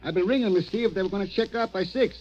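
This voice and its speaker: An actor playing a mild, matter-of-fact hotel desk clerk in a 1940s radio crime drama, casual and obliging. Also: male voice, sits high, rapid-fire, darkish tone, muffled recording. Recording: noisy vintage 1940s radio recording.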